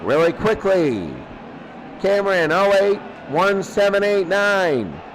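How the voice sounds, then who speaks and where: A man doing excitable play-by-play commentary of a football game, repeating phrases and drawing out his calls. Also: high-pitched, slow, slight background noise, low-quality recording. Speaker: sports commentator.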